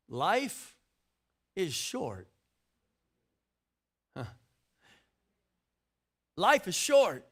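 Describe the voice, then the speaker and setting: An older man preaches a sermon in a strikingly high voice. He builds his points by repeating words, then rises into forceful, shouted lines. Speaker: pastor.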